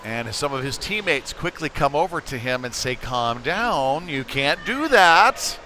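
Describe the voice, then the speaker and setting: An American man giving casual, conversational live hockey play-by-play as a commentator, getting excitable when play heats up. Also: medium pitch, unhurried. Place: indoor ice rink. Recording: noisy indoor ice rink.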